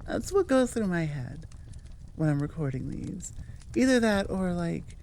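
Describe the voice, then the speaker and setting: A woman's voice: lowish voice, big pitch swings, unhurried, a little mellow, slight background noise. A woman, an audio roleplay creator, chatting casually to her listeners in a musing, conversational way with trailing asides. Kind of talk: casual outro chat to listeners.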